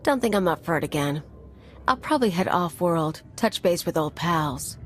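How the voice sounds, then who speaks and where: A low-voiced, sardonic mercenary woman. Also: animated, moderate pace, a bit bright, slight background noise. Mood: breezy. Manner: sardonic, brash, casual slangy delivery. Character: vengeful, sardonic female mercenary, casual about violence. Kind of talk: video game cutscene acting.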